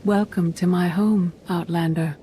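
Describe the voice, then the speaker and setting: A woman playing a wise, weary Jedi master in a video game, measured, solemn and cryptic. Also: lowish voice, steady tone, warm tone, a little hiss or hum, lightly acted. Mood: solemn, calm.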